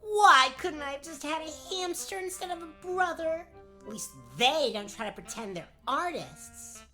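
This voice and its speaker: A woman playing a sarcastic, sharp-tongued young girl who teases dryly in a comedic fairy-tale radio play. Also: high-pitched, animated, measured pace, faint music behind, exaggerated voice. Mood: unimpressed. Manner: dry, snarky, teasing.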